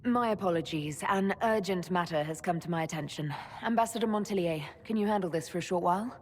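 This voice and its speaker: Actress as a dry, decisive heroine. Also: female voice, on the low side, quick pace, close to an everyday voice. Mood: brisk, concerned. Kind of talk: dramatic fantasy game acting.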